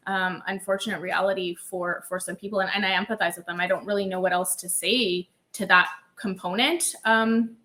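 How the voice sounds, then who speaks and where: A woman with a bright, clear voice co-hosts a casual reaction chat. She talks in a relaxed, chatty way, with plenty of fillers between her points. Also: mid-range voice. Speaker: realtor co-host.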